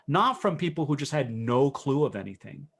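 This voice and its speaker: A man's voice: mid-range voice, very animated, moderate pace, vocal fry, husky. A man speaking as a guest coach in a conversational interview, thinking aloud and rephrasing as he goes.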